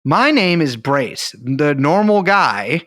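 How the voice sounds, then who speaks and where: Male podcast co-host with a slightly high voice, profane and deadpan, in quick bursts. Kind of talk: casual joking chat.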